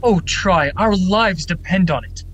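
A doomed husband, played by a man, faces the end forgivingly in a radio drama. His voice sits slightly high, with clear noise around it. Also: unhurried, fairly bright tone, highs cut off. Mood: desperate. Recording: noisy recording.

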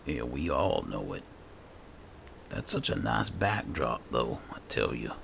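A man with a gravelly voice giving casual, partly roleplayed commentary as he plays a video game. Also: rumbling low, very animated, a little slow, fairly bright tone, slight background noise. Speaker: gameplay host.

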